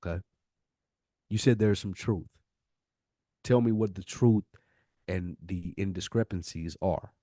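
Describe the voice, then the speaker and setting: A man's voice: low-pitched, a little slow, pauses often, mellow, no room sound, clean studio sound. A man with an American accent speaking casually and emphatically as a podcast host, using slang and swearing. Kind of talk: casual, impassioned discussion.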